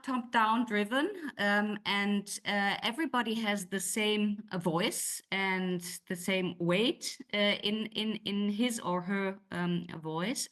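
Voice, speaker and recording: Halting woman with a German accent. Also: mid-range voice, a bit bright. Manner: halting, searching for words with many restarts. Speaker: panelist. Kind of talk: panel discussion.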